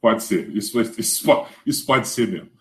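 A man with a Brazilian Portuguese accent hosting a casual football live stream, animated and often repeating words as he restarts. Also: on the low side, moderate pace. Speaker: host.

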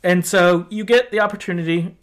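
Higher-pitched man chatting casually about games. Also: brisk. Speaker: podcast host. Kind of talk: casual video game discussion.

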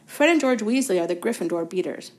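A woman's voice: medium pitch, expressive, a little fast, darkish tone, natural voice. A woman voicing a tired teenage boy wizard who explains things in a weary, resigned way.

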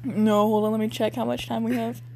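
A woman's voice: on the high side, flat delivery, brisk, a crowd in the background. A young woman co-hosting a podcast, casually reading amateur fiction aloud with sarcastic, self-mocking, giggly commentary. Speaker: podcast co-host. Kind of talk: casual joking dramatic reading.

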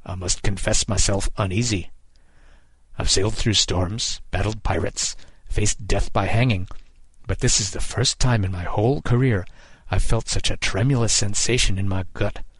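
A man's voice: fairly low pitch, a little fast, no room sound, lightly acted. Man voicing a bold, wry pirate captain. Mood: uneasy. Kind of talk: audiobook character voice.

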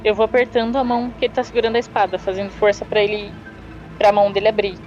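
A woman's voice: mid-range voice, little pitch movement, racing, a little mellow, soft background music. A Brazilian woman playing a tabletop game, casually narrating her character's actions, on a slightly lo-fi recording. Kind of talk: casual role-play narration. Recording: dull, slightly lo-fi sound.

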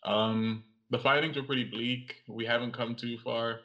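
A young man from Cameroon, a guest in an interview, speaking in a measured, reflective way with some pauses. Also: medium pitch, fairly even intonation, pushed and bright. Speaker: guest, audiovisual artist and writer. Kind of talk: interview conversation. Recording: lo-fi, slightly dull sound.

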